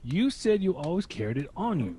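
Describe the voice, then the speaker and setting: A chummy, curious father in a radio drama. The voice is raspy and a bit high, with lively swings as he coaxes. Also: brisk, dry recording, heavily acted. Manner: chummy, coaxing. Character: genial, curious older father, coaxing host to his friend.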